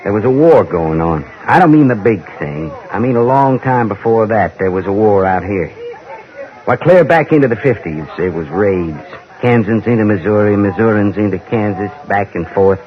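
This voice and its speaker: A man playing a brooding, weary American ex-raider cowboy in a radio western, halting and rambling in a folksy drawl. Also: lowish voice, lightly acted. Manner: halting, rambling, plain-spoken. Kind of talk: old-time radio western acting. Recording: dull vintage radio recording.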